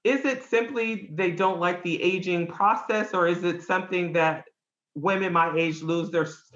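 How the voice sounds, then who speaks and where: A woman's voice: deep voice, reverberant, highs cut off. A woman in her fifties, co-hosting a podcast and asking a guest earnest questions. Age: 50s. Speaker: co-host.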